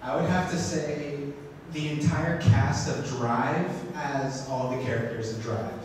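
A man introducing himself as a student, haltingly. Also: medium pitch, fairly even intonation. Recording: echoey room, far mic, hiss.

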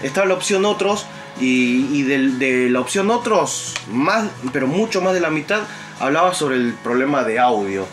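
A man's voice: medium pitch, animated, normal speed, soft background music. A young man with an Argentine Spanish accent hosting a casual group chat, recorded indoors by a camera across the table. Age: young adult. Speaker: host. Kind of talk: casual group chat, discussing viewer survey. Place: small tatami inn room, indoors.

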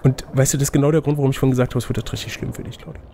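Gravelly man narrating a gamebook. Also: mid-range voice, steady tone, rapid-fire, very noisy. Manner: playful, teasing. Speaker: game master reading a gamebook aloud. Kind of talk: scripted narration mixed with casual banter.

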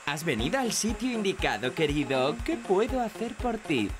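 A woman voicing a showy, flattering lion hairdresser in a cartoon. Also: on the low side, normal speed. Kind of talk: animated cartoon dubbing. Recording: music bed behind the voice.

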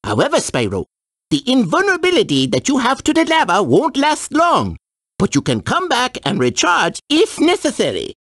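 An excitable video game scientist, with a high, hugely swooping voice. The delivery is flustered, mixing stammers with gushing exclamations. The sound is very dry. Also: female voice, moderate pace, no echo. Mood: explanatory. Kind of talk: animated video game character dialogue.

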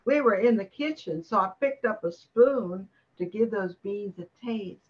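Dull lo-fi recording, older woman as nosy neighbour, medium pitch, chatty, gossipy, radio drama. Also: expressive, measured pace, natural voice. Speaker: amateur radio drama performer. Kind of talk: old-time radio play acting.

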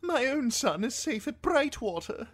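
A man voicing a pompous older highborn lord, a pampered prisoner with a screechy edge, in a fantasy audiobook. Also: big pitch swings, measured pace, exaggerated voice. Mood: pious, self-absorbed. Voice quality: shrill, screechy when upset. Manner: pompous, theatrical, aristocratic.

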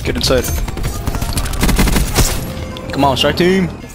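A young man giving live gameplay commentary, casual and slangy, who bursts into excited shouts and says his voice runs deeper after waking. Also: slow pace, loud music behind the voice. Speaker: gaming YouTuber.

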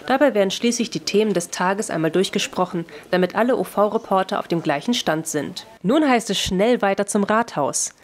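A woman reading a scripted news narration as a reporter. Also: medium pitch.

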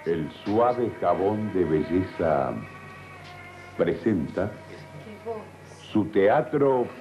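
Slow, deep radio announcer over music. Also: male voice, lively intonation. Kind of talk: old-time radio announcing. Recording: dull archive radio recording over music.

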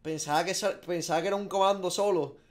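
A man's voice: high-pitched, steady tone, fast. Slangy young man from Spain, thinking aloud. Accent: Spanish from Spain. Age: 20s. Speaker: gaming streamer. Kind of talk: casual reaction commentary over a quiz. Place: small room at a desk, indoors.

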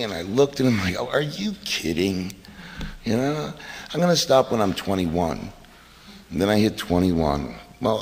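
A middle-aged man with a very low, deep voice tells his story at a meeting, blunt and quick with a joke. The sound carries the long reverb of a hall through a PA. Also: creaky. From US East Coast. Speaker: AA meeting speaker. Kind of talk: recovery talk to a meeting.

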